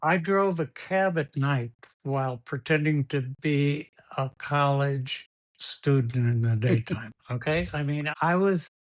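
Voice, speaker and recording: An older man telling long stories in English, his second language after Spanish. He rambles, repeats himself and laughs partway through his anecdotes. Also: mid-range voice. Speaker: guest. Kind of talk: storytelling interview.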